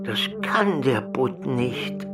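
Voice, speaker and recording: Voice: mid-range voice, big pitch swings, drawn-out words, far from the mic, music in the background. A storyteller voicing a pleading, fearful fisherman husband in a storybook fairy tale.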